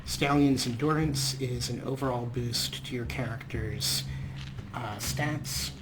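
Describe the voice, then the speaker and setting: A man's voice: medium pitch, slow, noisy background. A man hosting a let's play, giving casual game commentary with wry, tongue-in-cheek asides. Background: game music and character voices.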